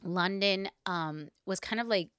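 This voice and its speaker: A woman's voice: mid-range voice, a little slow, fairly bright tone, smooth, dry recording, clean studio sound. A woman co-hosting a podcast, telling a story in casual, informal talk with lots of "like" and playful asides. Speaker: podcast co-host.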